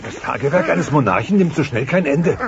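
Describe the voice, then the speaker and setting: High, pompous dinosaur dad. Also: male voice, lively intonation, brisk, creaky, a crowd in the background. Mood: proud, grand. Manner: pompous, theatrical. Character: pompous, boastful dinosaur father of the young king. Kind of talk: German audio play acting. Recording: dull cassette sound, faint noise.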